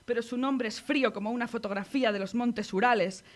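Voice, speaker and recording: A woman from Spain with a Spanish accent, reading her own poem aloud on the radio in a measured, intimate way. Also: fairly high pitch. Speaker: poet reading her work. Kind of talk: poetry reading.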